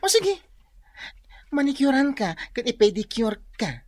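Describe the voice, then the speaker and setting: An actress playing a campy, gossipy, cheerful beautician friend in a radio drama, theatrical and sing-song, with a Filipino accent. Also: female voice, high-pitched, big pitch swings, measured pace, a little mellow, dry recording, full character voice. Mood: cheerful coaxing.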